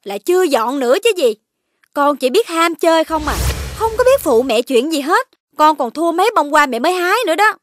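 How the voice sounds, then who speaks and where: Stern cartoon mom nagging away, high and really animated voice. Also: female voice, fairly quick, no room sound. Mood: scolding. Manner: nagging, exasperated. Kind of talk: children's cartoon voice acting.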